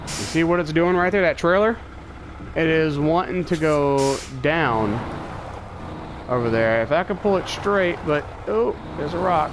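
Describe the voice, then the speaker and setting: A man's voice: a little high, a little slow. A man with a stuffy nose from allergies, thinking aloud in casual live commentary as he streams a truck-driving game. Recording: clear game engine and truck noise behind voice. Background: truck engine and vehicle sounds from the game.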